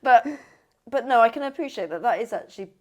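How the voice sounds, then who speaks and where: A woman's voice: a little high, expressive, fast, darkish tone. A woman interviewing a guest in a casual, supportive and conversational way. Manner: supportive, frequent brief agreement. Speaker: podcast host, interviewer.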